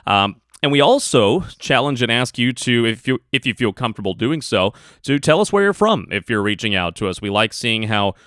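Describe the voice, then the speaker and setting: A man's voice: mid-range voice, expressive, fairly quick, few pauses, a bit bright, no echo, clean studio sound. A man co-hosting a music podcast, chatting casually, reading listener comments and plugging the show. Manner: upbeat, reads listener comments and show plugs. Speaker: podcast co-host.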